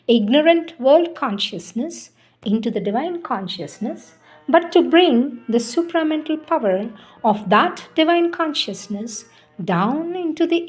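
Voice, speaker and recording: A woman giving a solemn devotional reading of spiritual teachings, deliberate and emphatic, pausing between phrases. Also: on the high side, darkish tone.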